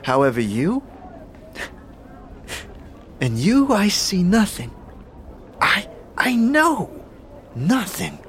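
An actor playing a thin, sly and proud humanoid gambler who speaks in halting, clipped, repetitive phrases in a fantasy audio drama. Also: male voice, high-pitched, big pitch swings, a bit bright, a little hiss or hum, full character voice. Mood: grave, unsettled.

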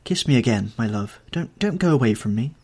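A man's voice: medium pitch, expressive, fairly quick, warm tone, husky. A man voicing a heartbroken young actress who begs her lover not to leave, in a pleading, breathless way.

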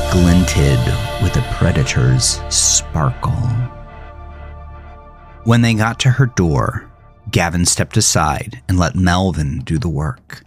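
A man with a low voice narrates a dark thriller. His delivery is slow, deliberate and brooding, with faint music underneath. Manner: measured, deliberate, brooding storytelling. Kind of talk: scripted horror thriller narration.

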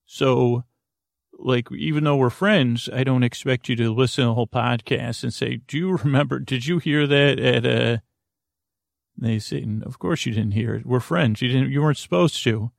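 Male bedtime podcast host, mid-pitched and creaky, meandering and self-interrupting delivery, recorded dry. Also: moderate pace, no echo. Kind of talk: rambling bedtime podcast monologue.

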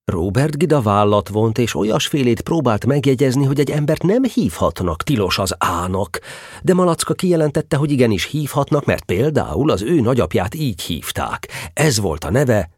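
A man's voice: medium pitch, very animated, slightly rough, no echo, studio quality. A man narrating a storybook audio drama, telling the tale expressively.

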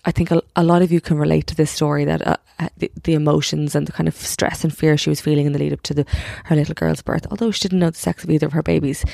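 A woman's voice: deep voice, vocal fry, dry recording. A woman hosting a podcast, chatting casually as she introduces a guest and interviews her. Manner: chatty, warm-hearted, quick asides and laughs. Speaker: podcast host.

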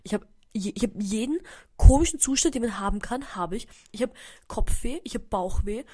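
A woman's voice: a little high, animated, moderate pace, dark tone, slightly rough. A young Austrian woman hosting a podcast, chatting casually with a stuffy nose from a cold and mixing English words into her rambling. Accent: Austrian German. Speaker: podcast host. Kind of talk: casual solo chat. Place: indoors at home.

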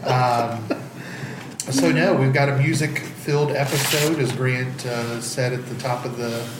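A man's voice: mid-range voice, fairly even intonation, measured pace, reverberant, heavy background noise. A congested man co-hosting a casual podcast in a basement, joking and laughing often. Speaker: podcast co-host. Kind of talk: casual chat.

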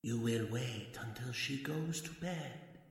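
An actor playing a sinister cult leader in a 1940s-style radio drama, commanding and falsely courteous as he hypnotizes with deliberate speech. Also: mid-range voice, big-room sound, natural voice. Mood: commanding, menacing.

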